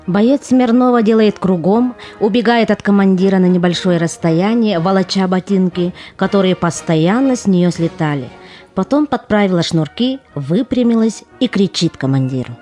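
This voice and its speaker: A woman's voice: medium pitch, moderate pace, mellow, lightly acted. A woman narrating a war audio play in a descriptive storytelling manner from a script. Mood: narrative. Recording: music under the voice.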